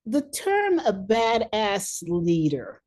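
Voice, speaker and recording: A woman's voice: mid-range voice, wildly swooping pitch, slow, a little mellow. A woman hosting a leadership podcast, who talks with enthusiasm and laughs easily. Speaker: podcast host, leadership coach. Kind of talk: conversational interview.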